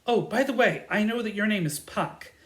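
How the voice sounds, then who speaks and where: A woman's voice: mid-range voice, expressive, normal speed, echoey room, natural voice. A woman playing an awkward, unprepared fairy servant, hesitant and flustered, in a comic audio drama. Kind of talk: theatrical comedy audio drama.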